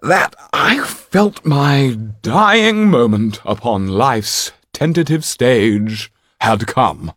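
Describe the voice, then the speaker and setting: A man's voice: medium pitch, lively intonation, very slow, fairly bright tone, no room sound, clean studio sound, voice pushed up, heavily acted. A man voicing a long-winded, theatrical male actor who speaks in grand, flowery phrases. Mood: grandiose.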